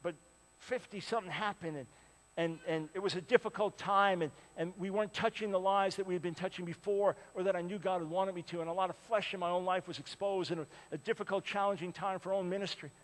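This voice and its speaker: A man in his sixties preaching a sermon, swinging from loud appeals to hushed, pleading lines. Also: sits high, steady tone, fast talker, in a large hall, poor audio. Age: 60s. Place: large church with a balcony.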